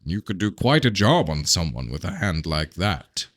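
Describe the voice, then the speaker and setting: A man voicing a blunt, curious male companion in a fantasy audiobook, in a low-key manner. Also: big pitch swings, moderate pace, fairly bright tone, no echo, studio quality. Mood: blunt curiosity.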